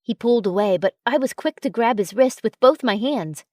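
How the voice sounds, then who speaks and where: A woman reading a first-person story as a narrator, in an expressive way. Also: a little high, brisk, no echo, clean studio sound. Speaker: audiobook narrator.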